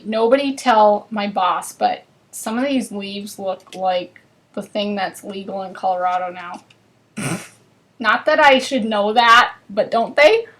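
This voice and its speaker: A woman with a northern US accent, chatting to viewers as she hosts a live card-making class. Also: medium pitch. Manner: chatty and bubbly, chatting with viewers while working. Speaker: craft class host. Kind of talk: live crafting demonstration.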